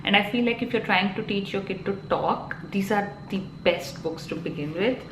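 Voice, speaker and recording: A woman's voice: mid-range voice, normal speed, noisy background. A woman in her thirties with an Indian accent, a Telugu native speaker, chatting casually to camera as a parenting vlogger, full of ums and you-knows. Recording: echoey room, faint ambience.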